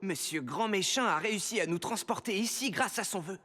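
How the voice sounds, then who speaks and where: A man's voice: sits high, fairly quick, bright, forceful tone. A man playing an earnest, commanding superhero teacher in a dubbed children's cartoon, instructive and exclamatory. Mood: firm.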